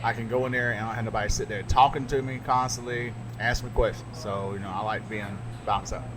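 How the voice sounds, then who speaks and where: A man's voice: medium pitch, fairly even intonation, quick pace, background noise. A man hosting a podcast, joking through a casual chat over food. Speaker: podcast host.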